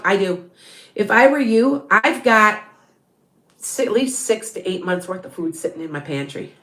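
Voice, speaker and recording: A woman's voice: medium pitch, fairly bright tone, roomy sound. A middle-aged woman with a Northern Illinois Chicago-area accent chats casually as a tarot reader answering viewer questions, rambling and restarting, often laughing. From Beloit, Wisconsin area, Midwest US. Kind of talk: casual livestream chat.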